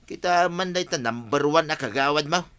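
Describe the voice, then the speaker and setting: An actor playing a plain-spoken village farmer in an Ilocano-accented radio drama, plaintive and emphatic in manner. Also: male voice, on the high side, normal speed, fairly bright tone, clear, resonant tone, no room sound, close to an everyday voice. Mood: teasing. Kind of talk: Philippine radio drama acting.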